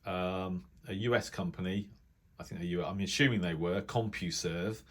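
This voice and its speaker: A middle-aged British man with a fairly low voice gives an unscripted hobbyist commentary. He speaks casually, often pausing and restarting as he thinks aloud. The delivery rambles gently from point to point. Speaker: radio hobbyist video presenter. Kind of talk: casual unscripted commentary while browsing a reference book.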